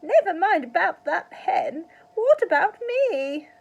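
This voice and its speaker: Woman putting on a shrill crackly old grandma. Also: very animated, slow pace, creaky, heavily acted. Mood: demanding. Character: shrieking bossy old grandmother.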